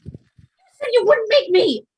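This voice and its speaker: Actress as flustered, awkward female co-op worker, sitcom read; very animated, high pitch. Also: moderate pace. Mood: panicked. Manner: flustered, stammering. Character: Cass, anxious, awkward, eager young female co-op worker.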